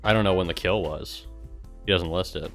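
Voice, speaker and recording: A man's voice: sits low, moderate pace, gravelly, soft background music. A man streaming casually, reading aloud and adding sarcastic, exasperated commentary, with swearing. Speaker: streamer and gaming guild member.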